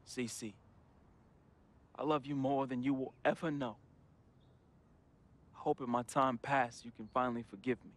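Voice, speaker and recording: A man's voice: mid-range voice, fairly even intonation, moderate pace, pauses often, close to an everyday voice. An actor playing a troubled young man in a game, reading a confessional farewell letter as a heartfelt voice-over with casual slang. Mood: somber. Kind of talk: emotional voice-over monologue. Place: studio voice-over.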